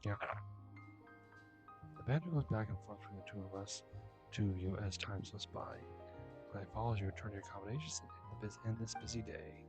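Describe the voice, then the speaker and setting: A man's voice: fairly low pitch, a little fast, long pauses. A man reading video game dialogue and narration aloud, stumbling over words and correcting himself. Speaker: game streamer. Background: game music.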